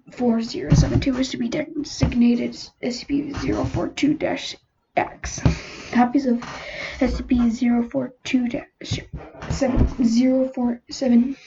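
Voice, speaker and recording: A woman reading a scripted document aloud as narrator, haltingly, often stumbling over numbers. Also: fairly high pitch, flat delivery. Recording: muffled lo-fi home recording, clear noise.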